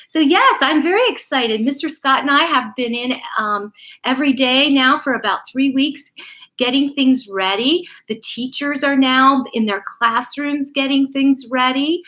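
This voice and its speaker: A woman's voice: high voice, unhurried, pushed and bright, poor audio. A middle-aged or older woman chatting casually to young children and reading them a bedtime story, encouraging and speaking to them directly. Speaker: elementary school principal or staff storyteller. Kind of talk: casual live chat and children's bedtime story read-aloud.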